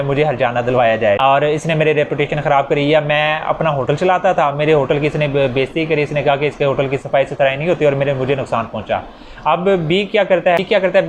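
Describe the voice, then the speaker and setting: A man with a Pakistani accent giving an informal law lecture, explaining step by step in a conversational way with rhetorical questions. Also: on the high side. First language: Urdu. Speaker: law teacher. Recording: noisy recording with background ambience.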